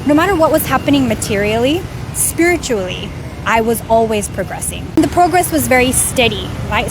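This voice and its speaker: A young woman, raised in Australia and born in India, telling her spiritual story in an earnest, animated vlog, filmed outdoors on a street. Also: a little high, background noise. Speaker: vlogger. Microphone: camera filming herself. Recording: music clearly under the voice. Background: music.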